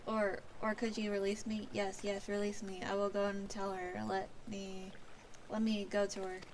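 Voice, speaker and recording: A woman voicing an entranced, desperate soul in a game, speaking in a halting, pleading way. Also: medium pitch, little pitch movement, a little hiss or hum, natural voice. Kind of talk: game dialogue read aloud.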